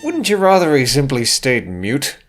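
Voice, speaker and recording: A man narrates a video essay with very lively, swooping intonation. His delivery is theatrical and sardonic, heavy on wry rhetorical questions. Music plays faintly behind him. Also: mid-range voice, moderate pace. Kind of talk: scripted humorous critical commentary on video games. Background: background music.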